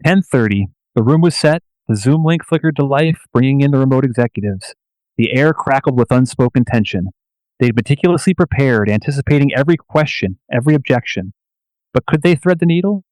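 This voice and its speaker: A man delivers scripted dramatic narration for a radio play. His voice sits in a middle register and he tells the story in measured, portentous phrases, recorded dry. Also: medium pitch, expressive, a little mellow, dry recording, poor audio.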